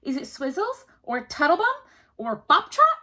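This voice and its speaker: A woman's voice: sits high, wildly swooping pitch, normal speed, bright, forceful tone, pitched above the performer's own voice, heavily acted. A woman voicing a questioning, sly fairy-tale queen who draws out her guesses. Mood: eager questioning. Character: questioning storybook queen, female, keen and sly.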